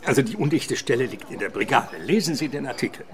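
Actor as gravelly, erudite police deputy. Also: male voice, mid-range voice, expressive, quick pace, a crowd in the background, close to an everyday voice. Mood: dry, precise. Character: erudite, dry, precise male police deputy. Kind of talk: radio crime drama acting.

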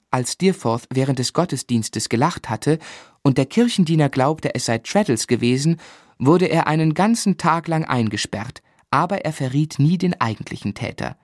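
An actor playing a grown man who fondly recalls his schooldays, narrating a literary radio drama in a measured, reflective way. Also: mid-range voice, a little mellow, close to an everyday voice.

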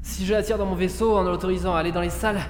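A man's voice: high voice, steady tone, very fast, natural voice. A man acting in an audio drama as a frantic, cursing lone space pilot who mutters to himself. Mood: tense, resolute. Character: panicked, foul-mouthed lone space pilot trapped with a killer drone. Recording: echoey reverberant hall, loud music behind.

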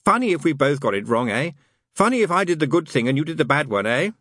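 A man's voice: on the high side, sing-song, fast talker, fairly bright tone, no echo, clean studio sound, voice pushed up. A man voicing a sarcastic male demon who needles and teases in a wry drawl. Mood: sardonic. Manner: sarcastic, teasing.

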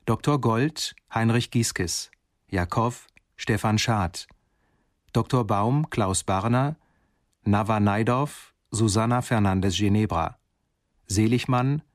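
A man announcing radio drama credits in a formal, measured, scripted style. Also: fairly low pitch, no room sound, clean studio sound.

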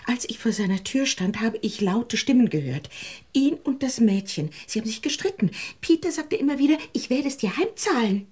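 A woman's voice: fairly high pitch, very animated, normal speed, hardly any pauses, a little mellow, breathy edge. An actress in a German radio play voicing a possessive, furious woman cornered as a murderer, theatrical and emphatic. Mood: accusing. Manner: theatrical, emphatic, breaking into desperate whispers.

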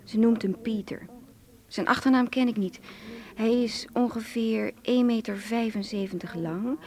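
Actress doing cool flirty villain sister, amused and ruthless, teasing, radio play. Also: female voice, on the high side, moderate pace, mellow, natural voice. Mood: cool, flirtatious. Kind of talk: radio drama acting.

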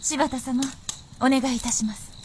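An actress playing a sly, bold princess of feudal Japan in a period radio drama, with poised, archaic speech. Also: female voice, sits high, steady tone, moderate pace, background noise, natural voice. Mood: deferential, sly.

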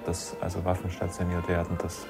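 A man's voice: low-pitched, monotone, fairly quick, gravelly, music in the background. A man giving a sober spoken recollection as an eyewitness.

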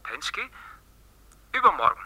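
A man's voice: slow, bright, forceful tone. An actor playing a deferential male subordinate answering a late phone call in a German radio crime drama, speaking briefly. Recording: noisy telephone call scene.

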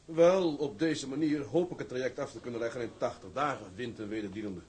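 A man plays a self-assured sea captain in Dutch broadcast drama. He speaks formally and with composure, in a mid-pitched male voice. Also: a little mellow, lightly acted. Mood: assured, official. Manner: formal, measured.

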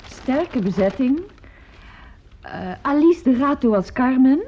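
An actress playing a calm, sensible, mildly teasing mother, matter-of-fact and soothing in a radio play. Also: sits high, slow, full character voice. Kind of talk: naturalistic radio play acting. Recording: dull lo-fi radio play recording.

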